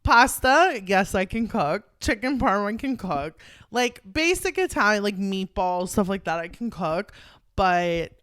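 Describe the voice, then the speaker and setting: A woman's voice: medium pitch, sing-song, unhurried, a bit bright, dry recording, studio quality. A woman bantering casually as a podcast guest in a blunt, playful way.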